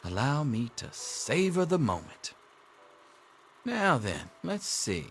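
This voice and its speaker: A man's voice: medium pitch, huge pitch swings, takes it slowly, a bit bright, slight background noise, full character voice. A man playing a wry, jaded middle-aged schoolteacher in a video game, with a sardonic, theatrical drawl. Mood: dry sarcasm. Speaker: teacher. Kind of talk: video game dialogue acting.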